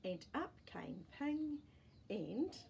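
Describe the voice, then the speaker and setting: A woman storyteller reading a children's story expressively, with deliberate pauses and dramatic emphasis. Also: a little high, sing-song, vocal fry, mellow, slight background noise. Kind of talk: expressive storybook narration with asides to young listeners.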